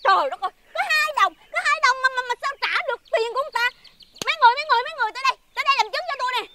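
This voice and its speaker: A woman's voice: very high voice, lively intonation, racing. A woman playing a greedy, bossy eatery owner in a folk-tale drama, exclaiming and repeating herself theatrically. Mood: outraged, haggling. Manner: scolding, repetitive, exclaiming. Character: greedy, bossy female eatery owner, shrill and fawning to rich customers. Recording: very noisy location sound.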